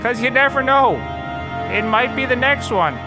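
An actor playing a self-serious, bumbling cult brother in a comedy audio drama, with mock-solemn, overwrought delivery. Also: male voice, extremely high pitch, normal speed, loud music behind the voice.

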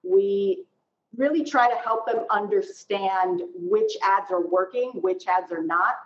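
A woman in her forties gives a conversational professional explanation as a panelist. Her pitch is average, and the video-call sound from her home room is slightly dull. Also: lively intonation, slow, vocal fry. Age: 40s. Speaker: panelist, research executive.

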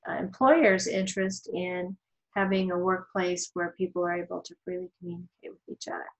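A woman law instructor narrating a slide lecture in a thoughtful, explanatory way, pausing with ums and restarting her sentences. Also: on the low side, highs cut off.

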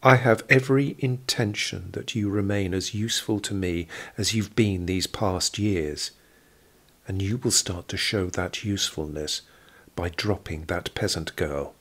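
A man voicing a cold, haughty, contemptuous wealthy woman in a period audio drama, speaking with deliberate disdain. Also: sits low, fairly bright tone, heavily acted. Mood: cold, imperious.

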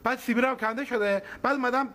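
A man's voice: extremely high pitch, steady tone, pushed and bright, full character voice. A man voicing an earnest, lovesick male puppet in a TV comedy, bossy and pleading as he dictates phrase by phrase. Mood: dictating, earnest.

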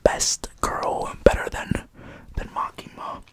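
A man in his twenties whispering breathily in an ASMR style, very close to the microphone, deliberately listing and repeating words. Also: fairly bright tone. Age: 20s. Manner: slow, deliberate repetition of words. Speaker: ASMR video host. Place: indoor room in front of green screen. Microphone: large foam-covered microphone, very close.

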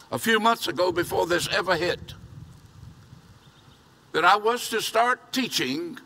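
Older male pastor, high-pitched, bright tone, earnest sermon, outdoor podium mic. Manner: earnest, repeating phrases for emphasis. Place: outdoor church parking lot. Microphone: podium mic from a distance.

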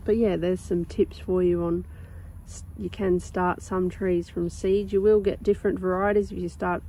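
A young woman with a croaky sore throat, chatting casually and rambling with lots of ums while filming her garden outdoors on a phone. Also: fairly low pitch, level tone, moderate pace, rough recording. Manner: rambling, chatty, with many ums and soft laughs. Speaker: gardening vlogger. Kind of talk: casual chat.

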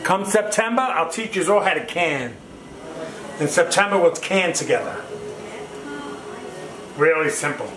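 Middle-aged man, high voice, cooking host, casual and exclamatory, roomy kitchen sound, music behind. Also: expressive, a little slow, pauses often. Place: home kitchen.

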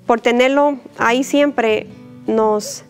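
Gentle Puerto Rican-born nun, higher voice. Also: female voice, fairly even intonation, soft background music. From Puerto Rico. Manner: gentle, unhurried, with many soft fillers and little laughs. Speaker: religious sister. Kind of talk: personal testimony to camera. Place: indoor church chapel, mid-sized. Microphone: lapel mic.

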